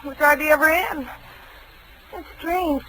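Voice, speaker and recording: An actress in a 1940s radio drama playing a flighty, affectionate young secretary who is easily flustered. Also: female voice, sits high, a little slow, sharp tone, rough recording. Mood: puzzled recalling. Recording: hissy old 1940s radio recording.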